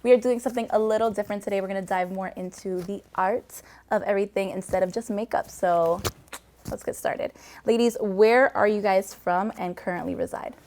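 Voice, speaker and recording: A woman's voice: mid-range voice, steady tone, fairly quick, a little mellow, slight background noise. A woman hosting a casual interview, upbeat and encouraging. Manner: upbeat, lots of laughs and encouraging backchannels. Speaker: host.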